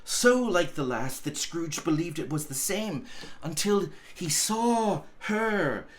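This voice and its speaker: A man reads a story aloud as narrator. His pace is very slow and deliberate, with expressive phrasing and frequent pauses. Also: on the high side.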